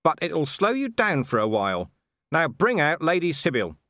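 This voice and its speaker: A man's voice: on the high side, huge pitch swings, normal speed, pushed and bright, no echo, clean studio sound, pitched above the performer's own voice, exaggerated voice. A man voicing a blunt, dogged police commander in an audiobook. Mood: defiant.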